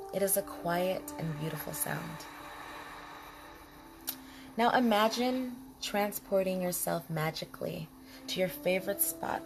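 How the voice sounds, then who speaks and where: A woman leading a guided body scan meditation in a calm, soothing manner, speaking in short, unhurried phrases with pauses. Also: lowish voice. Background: music behind the voice.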